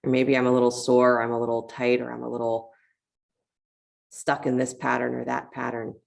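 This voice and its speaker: A woman teaching a guided yoga class, giving step-by-step movement cues in a conversational, encouraging manner with a creaky voice. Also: extremely low pitch, little pitch movement, moderate pace.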